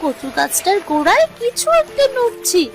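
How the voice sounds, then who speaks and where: Lady doing an eager little village girl, cartoon voice, super high n swoopy. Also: very animated, moderate pace, music in the background, exaggerated voice. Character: eager young village girl, excitable daughter. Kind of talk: cartoon voice acting.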